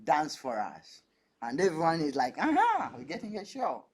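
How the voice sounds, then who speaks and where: A man's voice: on the high side, wildly swooping pitch, moderate pace, husky. A man from Uganda, a dance artist, telling stories animatedly on a panel and laughing often. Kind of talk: panel discussion.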